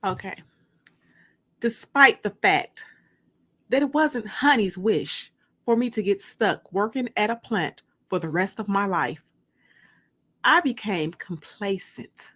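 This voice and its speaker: Woman, livestream host and author, slow pace, medium pitch, drawn-out emphatic phrasing, dramatic reading style. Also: lively intonation, fairly bright tone, slightly rough.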